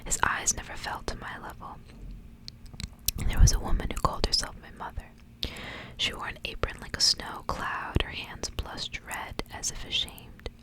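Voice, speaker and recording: A woman whispering softly and breathily as an ASMR host, reading stories and chatting casually. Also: moderate pace, rough recording. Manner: whispering throughout, soft and breathy. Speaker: ASMR reader and channel host.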